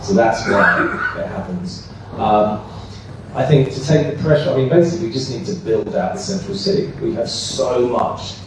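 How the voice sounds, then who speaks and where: A man answering as a candidate at a debate, brisk and matter-of-fact. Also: mid-range voice, expressive. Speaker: council candidate. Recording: echoey hall, distant mic, background noise.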